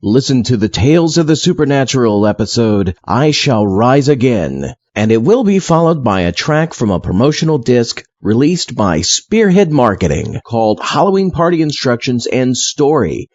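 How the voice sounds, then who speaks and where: A man's voice: medium pitch, sing-song, normal speed, a little mellow, no echo, highs cut off. A man hosting an audio show, playful, in the style of a comedy skit. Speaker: host. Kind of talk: playful host talk and comedy skit acting.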